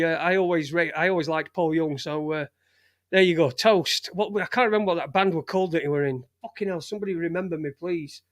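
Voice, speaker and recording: A man's voice: on the high side, pushed and bright, no room sound, clean studio sound. A man in his forties with a Sheffield accent, hosting a live stream and chatting loosely to his chat room, swearing often and trailing off. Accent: Sheffield, Yorkshire. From England. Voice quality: chatty, swears freely, lots of fillers and restarts.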